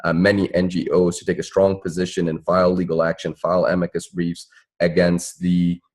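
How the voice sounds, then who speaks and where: Deep-voiced man speaking on a panel. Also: flat delivery, fairly quick, a little mellow. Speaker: panelist, civil rights activist. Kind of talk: earnest panel discussion remarks.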